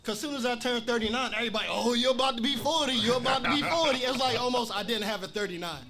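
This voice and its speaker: A man's voice: very high voice, fast talker, crowd noise. A man in his forties chatting on a podcast, telling a story in an easygoing way. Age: 40s. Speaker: podcast co-host. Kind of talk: casual chat.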